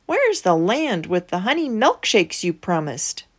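A woman's voice: mid-range voice, wildly swooping pitch, measured pace. A woman voicing grumbling, defiant Israelite complainers in a children's storybook, with a petulant, challenging manner.

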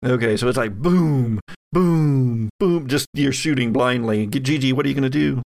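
Gravelly-edged man narrating horror. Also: mid-range voice, moderate pace, a little mellow. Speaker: game master. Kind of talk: narrating a horror tabletop game.

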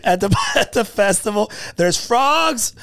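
A man's voice: sits high, lively intonation, a little slow, vocal fry, a bit bright, no room sound. A man co-hosting a casual podcast, teasing and joking with the others. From born in Texas, raised on military bases in Germany and the US. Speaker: podcast co-host. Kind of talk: casual chat.

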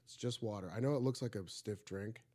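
A man's voice: on the low side, a little fast, dark tone. A man hosting a casual podcast in a studio, chatting and joking in a loose, rambling way. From Egypt. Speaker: podcast host.

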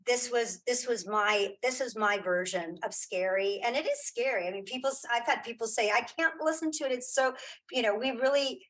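A woman's voice: a little high, a little fast, fairly bright tone, low-quality recording. A woman speaking as a guest in a casual interview, chatty and informal, often restarting her sentences. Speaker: interview guest, audio drama creator.

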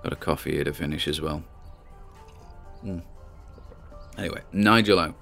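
A deep-voiced, weary man played by an actor keeping an obsessive audio log, with music behind him. He speaks haltingly, muttering and questioning himself, in an audio drama. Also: creaky, fairly bright tone, exaggerated voice. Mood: wry, baffled. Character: obsessive, spooked, weary amateur male investigator recording audio logs. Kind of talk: audio diary drama monologue.

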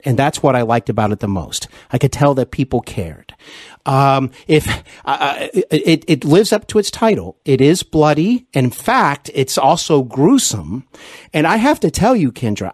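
An effusive man hosts a conversational interview podcast. His pitch moves in lively swings around a medium register. The sound is close and dry, and he is generous with compliments. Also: husky, no echo.

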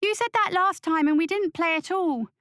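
Stubborn young son for a storybook, high and whining acted by a female narrator, dry close sound. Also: moderate pace, fairly bright tone, dry recording. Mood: whiny protest.

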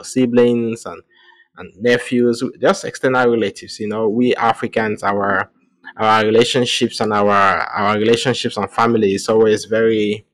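A man's voice: on the low side, a bit bright. A man in his thirties hosting a podcast alone, talking casually in a rambling way with frequent restarts and "you know" fillers. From Cameroon. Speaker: podcast host. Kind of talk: casual solo podcast monologue.

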